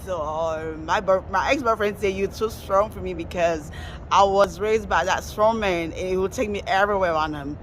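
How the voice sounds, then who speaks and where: A woman in her forties from Cameroon, answering street interview questions in a halting way with many fillers. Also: mid-range voice. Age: 40s. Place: city street outdoors. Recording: outdoor street with traffic noise.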